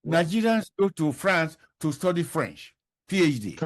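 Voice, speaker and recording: A man's voice: fairly high pitch, sing-song, measured pace, soft background music. An older man answering interview questions, emphatic and repeating his key points. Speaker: professor, interview guest.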